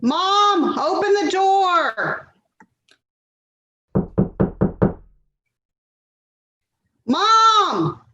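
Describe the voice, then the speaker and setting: A woman's voice: very high-pitched, big pitch swings, drawn-out words, pushed and bright, exaggerated voice. A woman playing an exasperated, worrying adult daughter in a readers theater play, nagging and put-upon, recorded in an echoey room. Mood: exasperated, scolding. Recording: echoey room, dull sound, faint noise.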